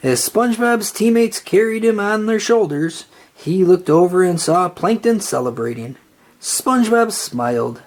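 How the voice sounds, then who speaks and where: Husky, animated storybook narrator. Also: medium pitch, a little slow. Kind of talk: animated children's read-aloud narration.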